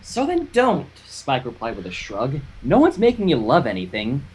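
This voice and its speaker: A husky-voiced man hosting a casual fan fiction reading among friends, excitable and self-mocking, moving between chat and dramatic narration. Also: mid-range voice, moderate pace, low-quality recording.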